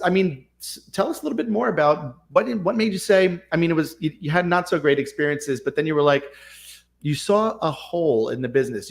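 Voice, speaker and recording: A man hosting a casual interview, encouraging his guest and asking long, rambling questions full of restarts. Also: a little high. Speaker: host, realtor.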